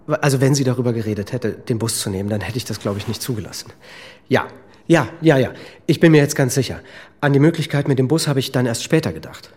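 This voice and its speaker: An actor playing an anxious, worn-out husband with a drinking habit, answering questions in a halting, defensive way in a radio drama. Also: male voice, mid-range voice, natural voice. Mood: unsure. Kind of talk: naturalistic radio drama acting.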